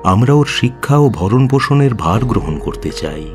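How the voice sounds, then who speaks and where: Deep-voiced, courteous learned monk. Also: male voice, big pitch swings, soft background music. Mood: calm, reassuring. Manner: measured, formal, gentle. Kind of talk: audio story acting in Bengali radio drama.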